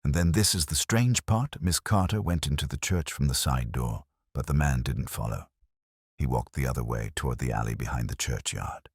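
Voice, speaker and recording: A man's voice: very deep voice, animated, moderate pace, no room sound, studio quality, exaggerated voice. A man putting on a fluttery village busybody lady. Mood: conspiratorial. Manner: breathy, self-important, hesitant relish. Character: fluttery, prim, gossipy female village busybody.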